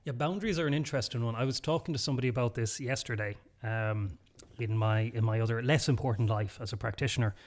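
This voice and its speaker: A man's voice: medium pitch, fast. A man co-hosting a podcast in a wooden cabin, with dry teasing banter. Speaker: podcast co-host. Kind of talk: casual chat.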